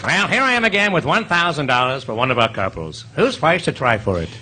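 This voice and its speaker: A male actor plays a leering comedian hosting an old radio quiz. He teases and ad-libs slyly, with a very lively, swinging delivery. The live stage recording has clear noise behind him. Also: medium pitch, brisk, natural voice. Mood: sly, genial. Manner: sly, teasing, ad-libbing banter. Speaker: quiz show host.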